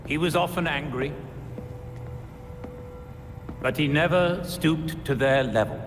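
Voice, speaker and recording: An actor playing a caring, principled older guardian in a video game, speaking in a measured, gently admonishing way. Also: male voice, fairly high pitch. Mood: solemn, caring. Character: caring, principled male guardian of young vigilantes. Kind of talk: dramatic video game cutscene acting. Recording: long echoey reverb, faint music behind.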